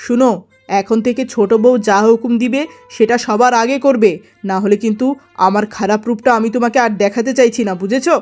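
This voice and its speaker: A woman's voice: on the high side, steady tone, fairly quick, darkish tone, soft background music, close to an everyday voice. A woman voicing a domineering, fault-finding merchant husband in a cartoon story, stern and complaining. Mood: stern, menacing. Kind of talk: melodramatic cartoon story acting.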